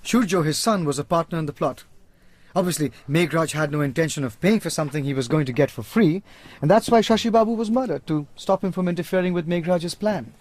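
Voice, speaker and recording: An actor playing a shrewd, commanding detective in a radio drama, speaking in a husky, deliberate, dramatic way. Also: male voice, on the high side, a little mellow, lightly acted. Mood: revealing, intent.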